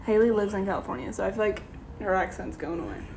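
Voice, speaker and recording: A woman's voice: medium pitch, fast talker, darkish tone, echoey room, a little hiss or hum. A young woman with a Southern US accent chatting casually in her bedroom while doing her makeup, giggling and saying "like" a lot. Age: young adult. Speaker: streamer. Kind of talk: casual chat. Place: small bedroom, indoors. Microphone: device camera low on the floor.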